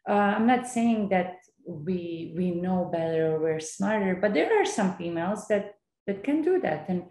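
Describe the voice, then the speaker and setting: A woman's voice: on the low side, animated, measured pace, darkish tone. Chatty woman podcast host in echoey room. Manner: rambling, frequent likes and you knows. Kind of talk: casual interview chat. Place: bare indoor room. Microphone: video call. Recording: echoey room on video call.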